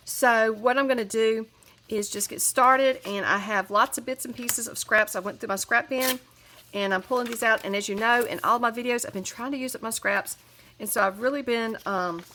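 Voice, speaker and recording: A woman's voice: fairly high pitch, pushed and bright, slight background noise. A woman with a head cold, chatting casually through a crafting tutorial with lots of fillers and restarts. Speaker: crafting channel host.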